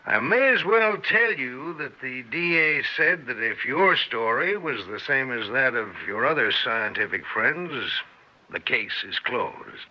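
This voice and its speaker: Slow, drily skeptical police officer. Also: male voice, medium pitch, lively intonation. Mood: resigned. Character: skeptical, plain-spoken male police officer, drily resigned. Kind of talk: old-time radio drama acting. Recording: muffled old radio recording.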